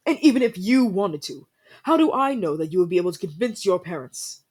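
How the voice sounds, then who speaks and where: A man playing a haughty, easily flustered young winter prince in a fantasy ASMR roleplay, speaking formally and imperiously with a breathy voice. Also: high voice, big pitch swings, fairly quick, darkish tone, heavily acted. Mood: angry, distrustful.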